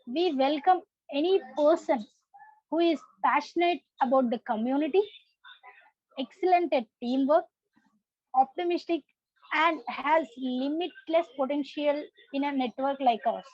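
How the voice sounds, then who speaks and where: High-voiced young-parliament presenter, Indian woman. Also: a little slow, a little mellow, no room sound. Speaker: youth parliament prime minister, presenter. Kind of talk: prepared presentation. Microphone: video call. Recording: muffled video-call audio.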